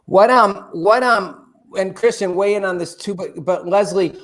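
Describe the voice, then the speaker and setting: A middle-aged man hosting a live online show from a home studio, chatty and animated, with loose fillers. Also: high-pitched, measured pace. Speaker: host. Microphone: desk studio mic.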